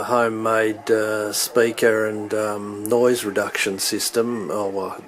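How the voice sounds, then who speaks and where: Low, flat-voiced man, halting delivery. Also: slight background noise. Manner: halting, hesitant, thinking aloud. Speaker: hobbyist demonstrating his radio equipment. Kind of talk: unscripted hands-on equipment walkthrough.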